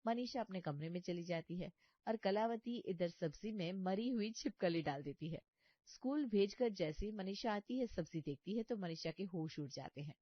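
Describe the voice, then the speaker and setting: A woman narrates a scripted story in a mid-pitched voice. The recording is very dry and slightly dull, with no room sound. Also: lively intonation, normal speed, no echo.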